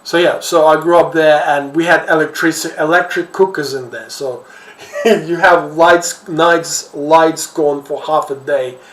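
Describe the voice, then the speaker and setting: A man's voice: fairly high pitch, fairly even intonation, unhurried, a bit bright. A middle-aged man from Ukraine with a Ukrainian accent gives informal, rambling commentary, repeating words as he searches for his phrasing. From Kherson, Ukraine. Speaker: social commentator, host. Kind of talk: informal off-the-cuff news commentary. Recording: echoey room, distant mic, faint noise.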